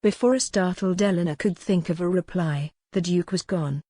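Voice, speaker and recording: Woman, lowish pitch, scripted novel narration, measured, articulate, dry sound. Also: warm tone, breathy edge, no room sound. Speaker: audiobook narrator.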